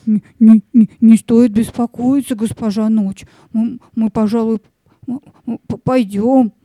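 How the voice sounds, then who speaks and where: A woman's voice: a little high, steady tone, a little slow, dark tone, no room sound. A woman voicing a cowardly, fat, living loaf of bread in a fairy-tale audio play, timid and stuttering. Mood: frightened.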